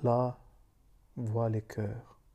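A man reciting a solemn poem in a grave, meditative manner, leaving long pauses between short phrases. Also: medium pitch, level tone, warm tone, husky. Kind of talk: solemn spoken poetry recitation.

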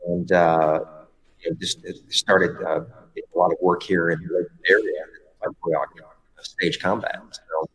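A man's voice: sits low, little pitch movement, normal speed, a bit bright, rough recording. A man talking casually as a podcast guest, rambling with frequent restarts and fillers as he explains his work. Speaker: guest, stage combat performer.

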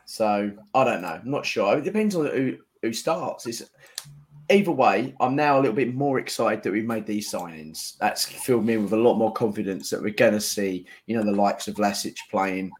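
A man's voice: fairly low pitch, lively intonation, normal speed, slight background noise. A man co-hosting a football podcast, talking informally as a fan in casual conversation. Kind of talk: casual chat among fans.

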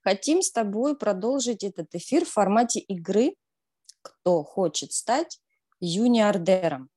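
A woman's voice: medium pitch, animated, unhurried, warm tone, husky. Teasing woman quiz host. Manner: teasing, upbeat presenter. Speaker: radio co-host, quiz presenter. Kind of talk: hosting a quiz game.

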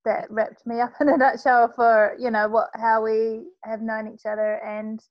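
A woman talks as a podcast guest, her tone flat and even, heard through dull, muffled lo-fi audio with a dry sound. She speaks thoughtfully and measured, with a few fillers. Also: on the high side, no room sound. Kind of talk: casual conversation.

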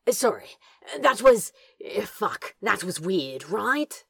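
A woman playing a bashful, grumbling flirtatious man in audio fiction, with a husky, growly voice and halting drawl. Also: medium pitch, big pitch swings, fairly bright tone, full character voice. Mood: flustered. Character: male Crowley, bashful grumbling flirt with gruff edge.

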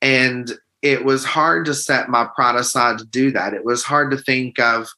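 A man's voice: mid-range voice, fairly even intonation, unhurried, pushed and bright. A man with a feminine-sounding voice, speaking as an interview guest in an open, earnest way. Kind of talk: conversational interview.

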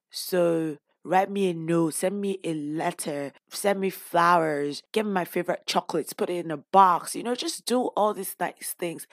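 Woman, hoarse voice, lowish pitch, dry close recording, casual podcast chat. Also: no echo. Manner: chatty and open, with playful asides. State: lost voice, hoarse. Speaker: podcast host; teacher. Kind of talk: casual personal podcast talk.

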